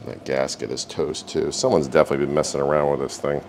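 Very deep gravelly man, workshop repair talk. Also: quick pace, slight background noise. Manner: relaxed, wry, talks while working. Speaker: repair video host. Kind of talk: casual hands-on repair narration. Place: home garage workshop.

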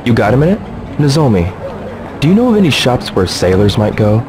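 An actor playing an earnest, polite teenage martial artist in a video game, with a stiff, reserved manner. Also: male voice, medium pitch, very animated, a little fast, background noise, close to an everyday voice. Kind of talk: English video game voice acting.

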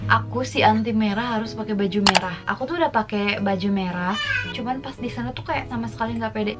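A woman in her twenties from Indonesia, chatting casually to camera in a lifestyle vlog from her bedroom, mixing in English phrases. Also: a little high. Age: 20s. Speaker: lifestyle vlogger. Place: bedroom at home. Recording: music playing behind.

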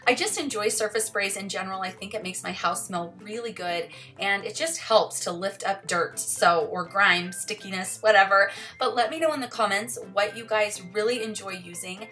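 A woman in her thirties talking casually through a home cleaning video, relaxed and chatty, laughing now and then. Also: mid-range voice, normal speed, few pauses. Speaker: vlogger. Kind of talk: casual voice-over chat. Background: soft music underneath.

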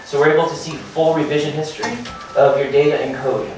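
A man in his thirties giving a hurried startup presentation at a meetup, full of fillers and restarts. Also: on the high side, steady tone. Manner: hurried, filler-heavy, restarts and repeats words. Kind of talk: conference talk. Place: meetup room with projector and seated audience. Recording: very echoey room from far off.

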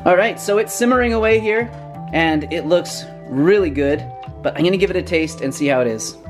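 An upbeat young man chats straight to the camera as he cooks, addressing viewers casually and warmly. He sounds like he is in a small home kitchen. Music plays clearly underneath his voice. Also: sits high, fairly quick. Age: young adult. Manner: upbeat, chatty, addresses viewers as "you guys". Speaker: cooking channel host. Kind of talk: casual cooking vlog to camera.

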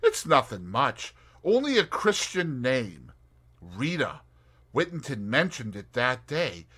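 A man reading an audiobook, voicing a brisk and determined young woman detective with emphatic, crisp speech. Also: mid-range voice, huge pitch swings, a bit bright, voice pushed up, full character voice.

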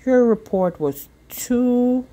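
A woman voicing an officious politician uncle in a storybook, formal and measured. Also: a little high, animated, dark tone, pitched above the performer's own voice. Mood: regretful, officious.

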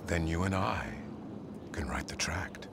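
An actor playing a serene, sly male cult leader in a video game, solemn and unctuous, breathy as phrases end. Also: low voice, measured pace, background noise. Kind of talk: video game cutscene acting.

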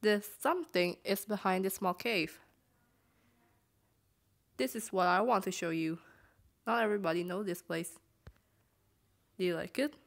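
Woman voicing an earnest, unhurried young cartoon sweetheart. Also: mid-range voice, creaky. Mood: gentle.

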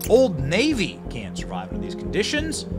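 A man's voice: sits high, wildly swooping pitch, normal speed. A man doing voice-over commentary in an emphatic, dramatic manner, building through repeated phrases. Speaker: narrator and political commentator. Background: prominent music under the voice.

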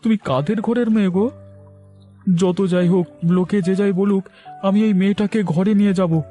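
A man's voice: high voice, steady tone, moderate pace, warm tone, faint music behind, lightly acted. A man voicing a kind-hearted, pious poor villager in a cartoon, exclaiming with pity. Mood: shocked, pitying. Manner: exclamatory, pitying. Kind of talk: cartoon voice acting.

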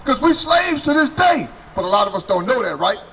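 A middle-aged man preaching loudly on a city sidewalk outdoors into a handheld mic, questioning people as they pass. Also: high voice, sing-song, brisk, a little hiss or hum, rough recording. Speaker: street preacher.

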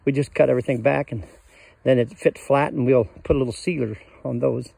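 A man's voice: mid-range voice, moderate pace, vocal fry, darkish tone, breathy edge, no room sound. An older man casually showing his cabin outdoors in the woods, rambling in a folksy way with many fillers, recorded on a handheld phone. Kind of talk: casual walkthrough chat.

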